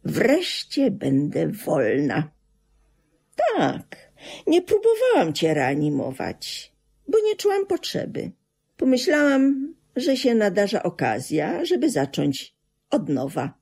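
An actress in a radio drama playing a bitter, resentful middle-aged widow, reproachful and emotionally swinging, with a husky, creaky voice. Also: female voice, mid-range voice, wildly swooping pitch, takes it slowly, darkish tone, heavily acted. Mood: resentful, self-pitying. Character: bitter, resentful widow talking to her dead husband.

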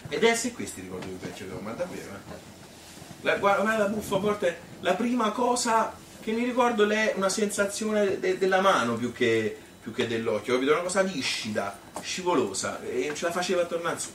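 A man playing a nostalgic Tuscan father who recalls his childhood in a halting, colloquial stage monologue. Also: high-pitched. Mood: reminiscing. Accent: Tuscan Italian. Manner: halting, stuttering over words, colloquial, animated. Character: nostalgic Tuscan father born 1951, rambling childhood memories. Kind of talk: spoken theatre monologue, folksy reminiscence. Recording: roomy theatre, distant mic, faint noise.

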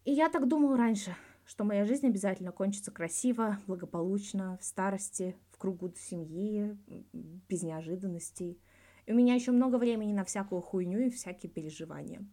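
A woman's voice: medium pitch, fairly quick, warm tone, natural voice. A woman voicing a frank, colloquial male hockey star answering in an audiobook interview. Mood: reflective. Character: blunt, reflective male Russian hockey star giving an interview.

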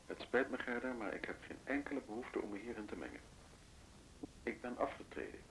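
An actor playing a measured, worldly former official giving advice in a radio play. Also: male voice, medium pitch, sing-song, gravelly, a bit bright, slight background noise, rough recording. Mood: grave, warning. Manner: deliberate, advisory. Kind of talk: Dutch radio play acting.

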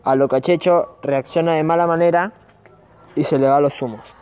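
A man's voice: fairly high pitch, steady tone, moderate pace, darkish tone, slight background noise. A man with an Argentine accent narrating a scripted amateur radio play. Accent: Argentine Spanish. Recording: dull, lo-fi amateur recording.

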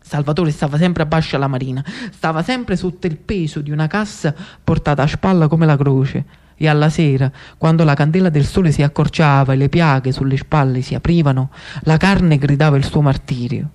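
Narrator: Neapolitan male actor for dramatic monologue, emphatic phrasing, stretched words and rhetorical pauses. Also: a little high, darkish tone. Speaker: actor and storyteller.

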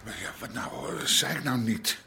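An actor playing a harried, stubborn middle-aged crime boss and husband in a radio drama, blustery and colloquial, with an Amsterdam accent. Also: male voice, mid-range voice, normal speed, gravelly, sharp tone, slight background noise. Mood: irritated, defensive. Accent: Amsterdam Dutch. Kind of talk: naturalistic radio drama acting.

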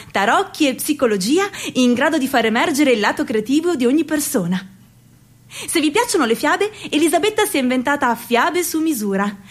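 A woman performing theatrical narration for a radio piece, with expressive swings in pitch and loudness.

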